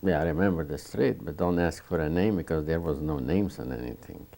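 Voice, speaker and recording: A man in his seventies from rural Romania, answering interview questions haltingly, with many restarts. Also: a bass voice, gravelly, dark tone. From Bukovina, Romania. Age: 70s. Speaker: Holocaust survivor interviewee. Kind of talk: oral history interview.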